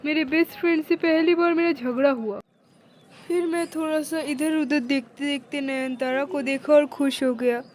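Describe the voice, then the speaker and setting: High-pitched woman narrating a story. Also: fairly even intonation, moderate pace, darkish tone, a little hiss or hum. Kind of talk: scripted first-person cartoon story narration, as a young man.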